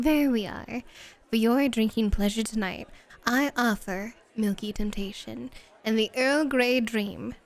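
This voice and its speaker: Casting: actress for flirty female club hostess in roleplay audio, higher-pitched, coy and teasing, drawn-out delivery. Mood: sultry, inviting. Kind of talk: flirty ASMR roleplay acting.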